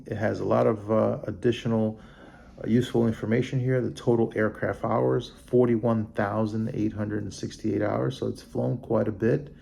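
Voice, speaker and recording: A man hosting a vlog, reading a report aloud haltingly and adding off-the-cuff comments. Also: on the low side, gravelly, dark tone, a little hiss or hum. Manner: halting, stumbling over names and terms. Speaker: vlog host.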